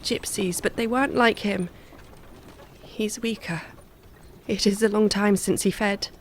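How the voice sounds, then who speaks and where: A woman's voice: medium pitch, steady tone, unhurried, close to an everyday voice. Woman playing a haunted, resolute young wife. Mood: entranced, uneasy. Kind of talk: audio drama acting and narration. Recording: audible background noise.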